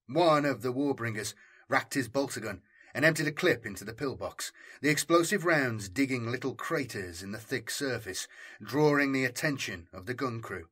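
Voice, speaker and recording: A man's voice: medium pitch, bright, forceful tone, breathy edge. A man reading military science fiction as an audiobook narrator, in a scripted, dramatic storytelling style.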